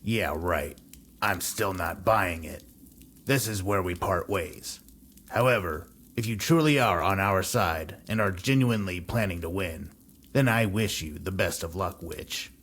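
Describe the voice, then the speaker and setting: A man's voice: low voice, measured pace, fairly bright tone, slight background noise. An actor playing a skeptical, sarcastic resistance man in a video game cutscene, sardonic and distrustful in manner. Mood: dismissive, grudging.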